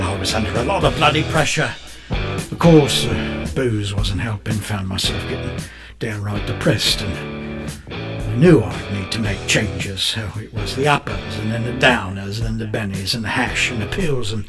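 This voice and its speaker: Radio drama role: a coarse, boozy London photographer with grand ambitions and a sour streak, music underneath. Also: male voice, fairly low pitch. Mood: self-pitying, wry. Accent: British, London. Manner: coarse, cursing, colloquial rambling. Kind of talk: audio drama narration and dialogue.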